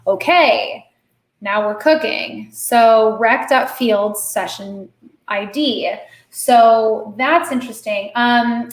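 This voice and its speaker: A slightly hoarse woman hosts a coding stream and thinks aloud as she works, with many fillers. She talks slowly in a fairly high voice. The room sounds echoey. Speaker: host.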